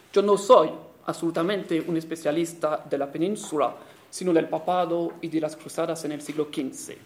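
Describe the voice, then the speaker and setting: High-voiced Italian man lecturing in Spanish. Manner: careful, halting delivery with self-corrections and fillers. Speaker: historian giving a conference talk. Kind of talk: academic conference lecture.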